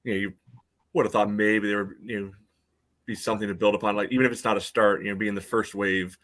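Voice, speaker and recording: Low-voiced man in loose, rambling chat. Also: little pitch movement, quick pace, a bit bright. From United States. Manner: rambling, heavy on filler phrases. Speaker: podcast co-host. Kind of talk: casual sports chat.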